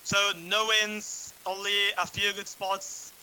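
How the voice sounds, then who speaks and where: An Italian-accented man asks his question in careful, halting English, apologizing as he goes. His voice sits high and cuts through with a very bright, sharp edge, over a faint hiss. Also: level tone, low-quality recording. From Italy. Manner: apologetic, hesitant non-native English. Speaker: caller asking a question. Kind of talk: press conference question.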